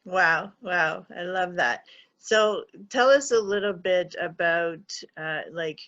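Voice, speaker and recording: A woman's voice: on the low side, a bit bright, lo-fi sound. Upbeat woman hosting an interview. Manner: upbeat, enthusiastic. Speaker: interview host. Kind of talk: casual interview.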